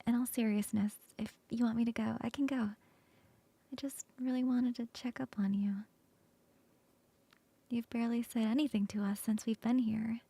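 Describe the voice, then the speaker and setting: A woman's voice: on the high side, breathy edge, no room sound. A woman playing a teasing whispering coworker. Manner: soft whispering, playful teasing. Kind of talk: ASMR roleplay.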